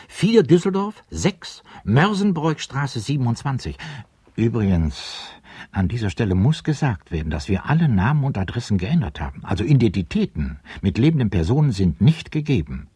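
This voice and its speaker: A man with a husky voice giving scripted narration and announcements in a radio play. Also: mid-range voice, a little mellow, no echo.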